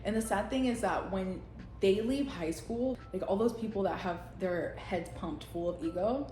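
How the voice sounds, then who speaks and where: Young woman vlogger, Spanish first language, blunt and salty, middle-pitched, echoey room. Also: normal speed, mellow. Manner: blunt, profane, rambling with frequent laughs. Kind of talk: unscripted casual monologue. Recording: roomy echo.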